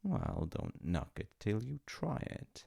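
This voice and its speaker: A man's voice: on the low side, huge pitch swings, slow pace, gravelly, mellow, breathy edge, exaggerated voice. A man playing an awkward, chatty demigod of reincarnation in a comedic audio roleplay, stammering and apologetic as he talks to the listener. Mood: mildly indignant, wheedling. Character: flustered, chatty male demigod in charge of reincarnation.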